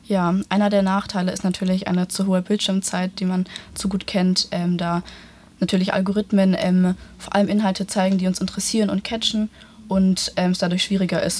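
A woman's voice: lowish voice, a little mellow. An eighteen-year-old woman, a student panelist, reading a prepared answer with many filler hesitations. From Germany.

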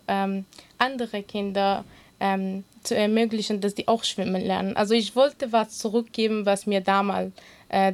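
Earnest woman, Kurdish native, swim teacher in an interview; mid-pitched, hesitant. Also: darkish tone. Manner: earnest, halting with many fillers. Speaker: swimming instructor. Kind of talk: interview answers.

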